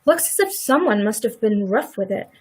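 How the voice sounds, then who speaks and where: A woman's voice: fairly high pitch, very animated, normal speed, darkish tone, husky. A woman playing a rueful, blunt farmer's wife with folksy rural speech in a live radio play. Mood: wistful, uneasy. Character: farmer's wife Mrs. Hale, regretful, blunt, protective neighbour.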